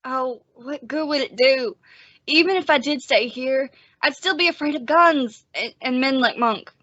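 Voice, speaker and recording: Lady doing scared earnest western townsman, high voice, old radio play, dull dry recording. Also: a little slow, pushed and bright, dry recording, exaggerated voice. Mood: scared, dejected. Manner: earnest, anxious. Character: Everett Barnes, timid, frightened male western townsman.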